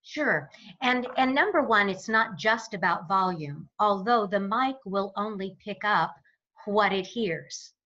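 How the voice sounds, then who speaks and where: A woman's voice: medium pitch, lively intonation, bright, forceful tone, muffled recording. A woman speaking as a voice coach in an interview, explaining and demonstrating in an instructional way. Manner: demonstrative, explanatory, deliberately varies resonance. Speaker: voice coach guest.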